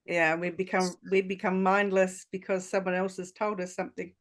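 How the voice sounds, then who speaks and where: A woman's voice: lowish voice, level tone, normal speed. A woman hosting a conversational podcast interview, speaking in an agreeable, affirming way. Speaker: podcast host.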